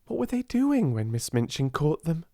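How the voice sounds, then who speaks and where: A man's voice: a little high, sing-song, moderate pace, mellow, dry recording, clean studio sound, pitched above the performer's own voice. A man with a British accent voicing a silly but kindly schoolgirl in an audiobook, earnest and inquisitive. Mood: curious.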